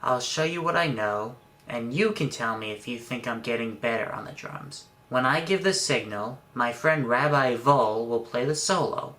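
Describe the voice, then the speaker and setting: A man's voice: medium pitch, moderate pace, few pauses, pushed and bright. A man speaking song lyrics aloud as a dramatic reading.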